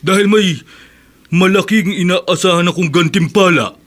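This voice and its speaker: A man's voice: high voice, moderate pace, close to an everyday voice. A stern, devout husband in a radio play, played by a man. His voice is a bit raised, and he speaks with firm reproach. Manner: stern, scolding. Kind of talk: radio drama acting.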